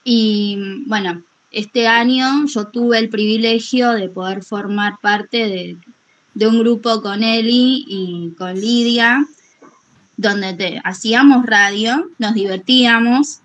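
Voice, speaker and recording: A woman's voice: a little high, takes it slowly, a bit bright, poor audio. A woman with an Argentine accent, chatting casually and politely in a group call, often hesitant as she finds her words. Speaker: workshop participant.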